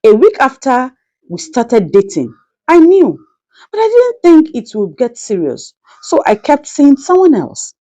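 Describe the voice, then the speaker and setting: Woman acting a brazen mistress, lively swooping pitch. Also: unhurried, exaggerated voice. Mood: cool, unashamed. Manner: brazen, unapologetic. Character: shameless, boastful young mistress, coolly dismissive.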